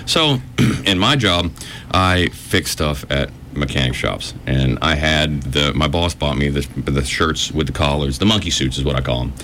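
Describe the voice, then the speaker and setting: A man who works as a mechanic, talking loudly and emphatically in a casual chat, his voice jumping up for emphasis. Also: normal speed, a little hiss or hum. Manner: loud, emphatic, pitch jumps up.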